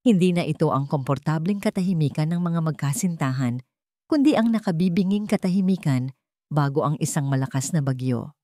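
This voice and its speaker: Woman narrating a story, low and dry. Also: no echo. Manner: steady, measured storytelling. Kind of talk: scripted narration.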